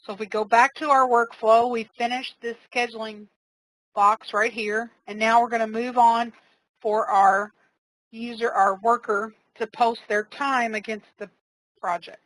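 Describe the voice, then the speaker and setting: A woman trainer giving a casual, step-by-step live software demonstration, explaining each click as she goes. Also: on the high side, little pitch movement, a little slow, dry recording, poor audio. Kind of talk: live software demo walkthrough.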